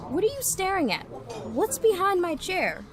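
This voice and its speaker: A woman's voice: very high-pitched, huge pitch swings, normal speed, a crowd in the background, exaggerated voice. A woman playing a devoted, anxious sweetheart in a 1930s-style radio drama, speaking in a theatrical, coaxing, questioning way. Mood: alarmed. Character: devoted, anxious sweetheart Mary, teasing and pleading.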